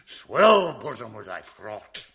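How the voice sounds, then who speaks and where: An actor playing a jealous, tormented general in a classic radio drama, swinging between roars and hushed whispers. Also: male voice, mid-range voice, sing-song, slow pace, full character voice. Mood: vengeful. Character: jealous tormented general, man, volatile and commanding.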